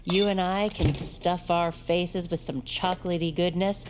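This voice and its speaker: An actress playing a firm but comforting middle-aged mother in an audio drama, measured in her delivery. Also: lowish voice, steady tone, noisy background, close to an everyday voice. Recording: music behind the voice.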